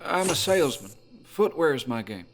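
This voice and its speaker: A man playing an affable, curious traveling salesman in an audio drama, with a brisk, polite, self-assured manner. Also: mid-range voice, sing-song, slight background noise, close to an everyday voice.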